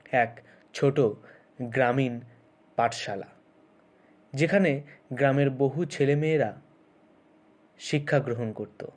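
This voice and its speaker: A man's voice: medium pitch, darkish tone. A man narrating a scripted moral story as a storyteller. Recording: clear music bed with birdsong.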